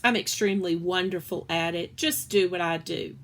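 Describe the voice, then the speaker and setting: A woman's voice: lowish voice, moderate pace, fairly bright tone, slight background noise. A woman reads as a nine-year-old storybook girl who likes being in charge. She is bossy and sure of herself, handing out rules and instructions. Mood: showy, instructing.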